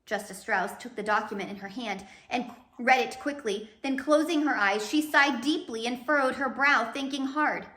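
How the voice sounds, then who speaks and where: A woman reading a children's novel aloud in an expressive, dramatic storytelling manner. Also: fairly high pitch, moderate pace, few pauses, reverberant. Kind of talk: animated storybook read-aloud.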